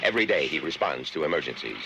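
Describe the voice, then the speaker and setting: A man's voice: rumbling low, lively intonation, fast talker, gravelly. A man reading a scripted radio advertisement as an announcer. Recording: very noisy old radio broadcast.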